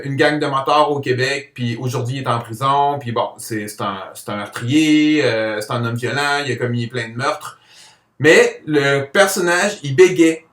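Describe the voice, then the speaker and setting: Higher-voiced Quebec man with a mild stutter. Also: fairly bright tone. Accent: Quebec French. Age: adult. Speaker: person who stutters giving a testimonial, comic book author. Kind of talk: personal spoken testimonial to camera. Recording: roomy echo, distant microphone.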